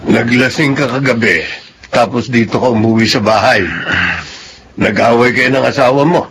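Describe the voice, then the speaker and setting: An actor playing a gentle older father who speaks in a fatherly, fond way. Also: mid-range voice, fairly even intonation, normal speed, music in the background, close to an everyday voice. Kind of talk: Filipino radio drama acting.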